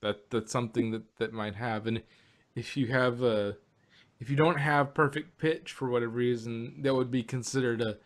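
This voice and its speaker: Man, podcast co-host, mid pitch, rambling casual chat, restarts and laughs. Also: steady tone, moderate pace.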